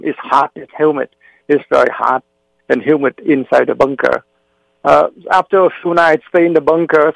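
A middle-aged man from South Vietnam, a native Vietnamese speaker, telling his life story as an interview guest, pausing often with "uh". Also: on the high side, gravelly, dry recording, rough recording. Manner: frequent "uh" fillers, halting.

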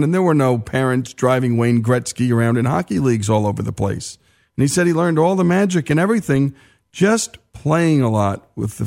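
A man's voice: mid-range voice, vocal fry, a little mellow. A man hosting a radio talk show, narrating in an emphatic, conversational way with frequent asides.

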